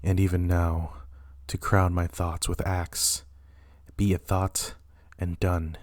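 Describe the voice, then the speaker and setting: A man's voice: deep voice, flat delivery, takes it slowly, darkish tone, dry recording, studio quality. A man playing a tormented, imperious and ruthless king, declaiming weightily in a dramatic Shakespeare reading. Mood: grimly resolved.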